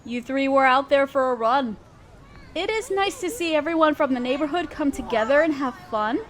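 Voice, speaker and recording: A woman's voice: high voice, normal speed, lightly acted. A woman playing an affectionate, reassuring girlfriend in a roleplay audio, speaking sweetly and tenderly to a partner. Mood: cheerful, excited. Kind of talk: roleplay audio acting, addressing the listener as partner. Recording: noisy park and fireworks effects. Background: park sounds, fireworks.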